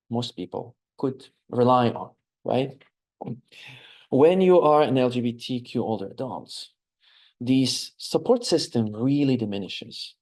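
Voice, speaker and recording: A man with a Lebanese accent speaks as an architect giving an informal lecture. His voice is mellow and relaxed, at an average male pitch. He explains conversationally, often checking in with a short questioning tag.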